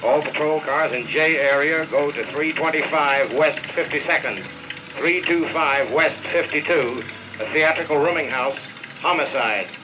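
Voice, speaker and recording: A man playing an official police dispatcher in 1940s radio drama. He announces in a clipped, slightly high voice through clear crackling noise. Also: measured pace, close to an everyday voice. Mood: official, urgent. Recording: noisy crackling old radio transmission.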